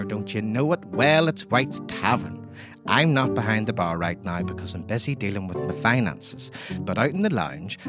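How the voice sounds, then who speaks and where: A man as a low-voiced boastful Irish pub storyteller. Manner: wry, digressive, colloquial. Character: boastful, rambling male Irish pub keeper and monster fighter. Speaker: narrator. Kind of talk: comic first-person storytelling narration.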